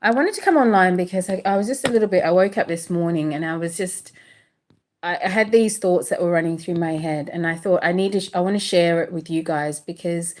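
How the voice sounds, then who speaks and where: A woman's voice: fairly low pitch, a little mellow. Hesitant woman with a British accent. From Australia. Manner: hesitant, many pauses and fillers. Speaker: livestream host. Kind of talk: casual livestream talk. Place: indoors in an apartment.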